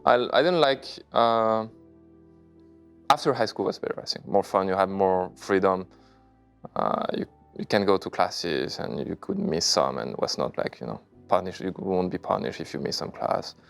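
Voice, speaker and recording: Guest, 30s man from China, very low gravelly voice, smooth, hesitant phrasing, soft music under. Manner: halting, searching for English words. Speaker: interview guest, poker player. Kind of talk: casual interview answers.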